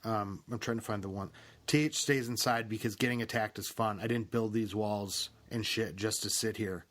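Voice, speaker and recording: A man with a medium-pitched voice co-hosts a gaming podcast. His talk is casual and a little tentative, as he works out his thoughts aloud. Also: fairly bright tone. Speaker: podcast co-host.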